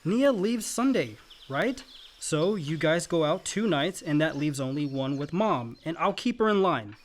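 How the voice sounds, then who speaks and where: An earnest, higher-voiced young son. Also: male voice, lively intonation, measured pace. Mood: firm, reassuring. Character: earnest, dutiful grown son, family peacemaker. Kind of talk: naturalistic radio play acting.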